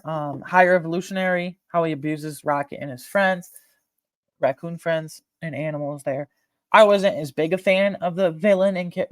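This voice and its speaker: A man's voice: on the high side, no room sound. Casual, emphatic man in a dry room. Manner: informal, halting, emphatic fan talk with slang. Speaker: video host ranking films. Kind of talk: casual opinionated film ranking commentary.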